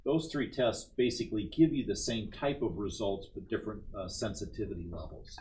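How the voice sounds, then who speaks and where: A lower-pitched man explains in a steady, methodical way as a guest on a talk show. He keeps checking in with a quick "right?" and there is faint noise in the background. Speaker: company executive guest.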